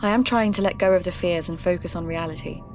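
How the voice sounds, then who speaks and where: Folklore podcast host female actor: slightly low voice, reflective, earnest scripted narration, confiding delivery. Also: quick pace, a little mellow, faint music behind, natural voice. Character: earnest, curious woman hosting a folklore investigation podcast.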